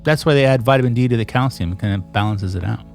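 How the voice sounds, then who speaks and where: A man's voice: medium pitch, rapid-fire, creaky, faint music behind. A man hosting a casual, joking chat with his elderly mother, laughing often and slipping into silly voices. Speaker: host interviewing his mother.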